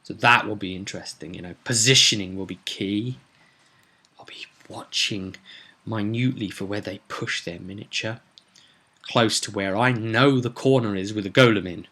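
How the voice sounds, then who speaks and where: A man's voice: fairly low pitch, moderate pace, sharp tone. A man hosting a casual solo vlog as a game master, explaining his plans for a tabletop game in an emphatic way.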